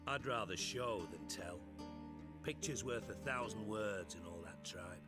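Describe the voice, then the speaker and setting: A man's voice: fairly low pitch, a little slow, over loud music, lightly acted. An actor playing a scheming, sardonic male spymaster in a game cutscene, delivering dry, menacing lines.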